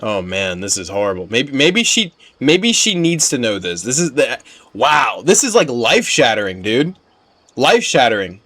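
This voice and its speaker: A man's voice: mid-range voice, very animated, normal speed, pushed and bright, no room sound. A man giving casual gameplay commentary as a streamer, slangy and emphatic, often repeating himself for weight. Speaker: game streamer.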